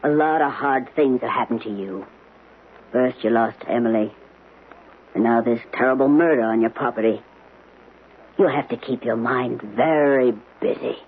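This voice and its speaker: A man's voice: mid-range voice, moderate pace, long pauses, a little hiss or hum, close to an everyday voice. An actor in a radio drama playing a blunt, probing male acquaintance with a folksy, questioning manner. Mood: advising. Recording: muffled, tinny old radio recording.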